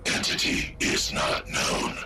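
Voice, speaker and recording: An actor voicing an ancient, malevolent war-machine intelligence in a video game, with a booming synthetic voice and terse, commanding pronouncements. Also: male voice, takes it slowly, very noisy, close to an everyday voice. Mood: cold, terse. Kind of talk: video game villain acting.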